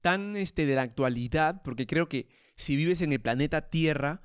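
A man's voice: fairly high pitch, normal speed, smooth, dry recording, clean studio sound. Joking young man. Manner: rambling, jokey, stumbles over words. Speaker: podcast co-host. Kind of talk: casual banter.

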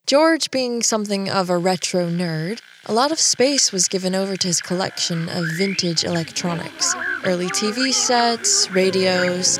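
An actress playing a quiet, geeky designer in an audio drama, reflective and wry in a conversational way. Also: female voice, mid-range voice, lightly acted. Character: quiet, geeky woman designer narrating her story. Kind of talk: first-person audio drama narration and dialogue. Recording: music under the voice.

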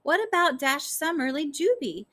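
A woman's voice: sits high, big pitch swings, normal speed, a bit bright, voice pushed up. A woman voicing a determined, desperate young girl in an audiobook, insistent and urgent. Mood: urgent pleading.

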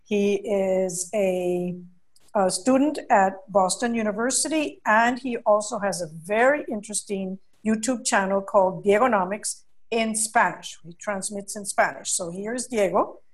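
A woman's voice: medium pitch, a little slow. A woman working as an educational consultant, hosting an interview and chatting in a friendly way, often filling her speech with "you know" and "uh". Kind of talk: conversational interview.

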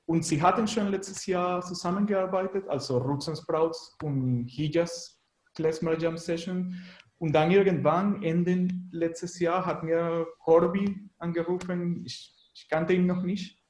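A man's voice: sits high, steady tone, a little slow, darkish tone, echoey room, low-quality recording. A man from Venezuela with a Venezuelan Spanish accent, answering casually as an interview guest and searching for words in a language that is not his native one. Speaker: interview guest, musician and graphic designer.

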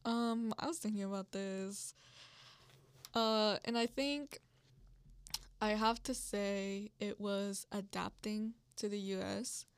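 A woman's voice: medium pitch, steady tone, takes it slowly, clear, resonant tone, no echo. Candid young woman, casual storyteller. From Mexico. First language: Spanish. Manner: candid, storytelling, lots of fillers. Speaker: podcast co-host. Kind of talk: casual chat.

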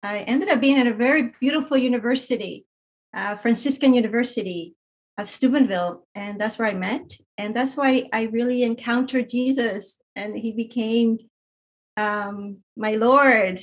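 Breathy, higher-pitched middle-aged woman from Colombia, gentle and hesitant, telling a personal story. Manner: soft-spoken, many fillers and pauses. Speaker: podcast guest. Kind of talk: casual personal testimony.

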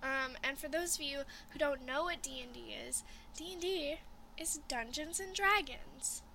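A woman's voice: high-pitched, normal speed, gravelly, pushed and bright, slight background noise, low-quality recording. A young woman hosting a casual podcast chat, upbeat and filler-heavy as she steers the group from topic to topic. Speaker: podcast host.

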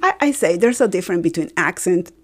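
A middle-aged woman from Colombia with a Colombian Spanish accent, native Spanish speaker, chatting as a podcast guest, telling animated stories and laughing often. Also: lowish voice, fast, a loud crowd. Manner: animated storytelling with frequent laughs. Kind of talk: casual chat.

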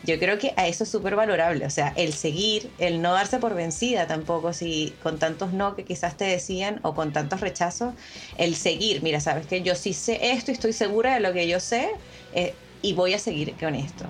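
A woman's voice: fairly low pitch, normal speed, a little hiss or hum. Venezuelan woman, hesitant panel talk. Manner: hesitant, many restarts and fillers. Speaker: panel guest. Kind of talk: casual panel discussion.

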